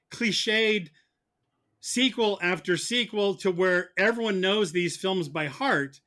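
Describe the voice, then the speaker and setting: Higher-voiced man arguing his case. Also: bright, forceful tone. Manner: argumentative, halting with repeated words. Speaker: podcast guest arguing as mock prosecutor. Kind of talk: comic debate on a film podcast.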